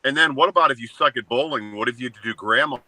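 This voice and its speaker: A man in his seventies co-hosting a casual talk show, joking bluntly and ranting in short, flat statements. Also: mid-range voice, fast, rough recording. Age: 70s. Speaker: co-host. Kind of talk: casual chat.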